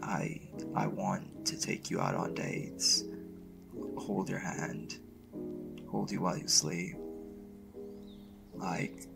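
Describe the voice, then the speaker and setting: A man performs a young, lovestruck male college mage for a boyfriend roleplay. His voice is low and heavily fried, and he talks slowly in halting, casual phrases, earnest and a little unsure of himself. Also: big pitch swings, creaky, music in the background, full character voice. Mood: tender, earnest. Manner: hesitant, halting, casual slangy delivery. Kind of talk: intimate boyfriend audio roleplay.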